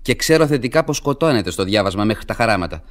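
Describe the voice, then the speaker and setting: An actor playing an excitable, boastful young male student in a theatrical radio play, blustering and teasing. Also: on the low side, close to an everyday voice. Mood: boastful, mocking. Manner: blustering, indignant.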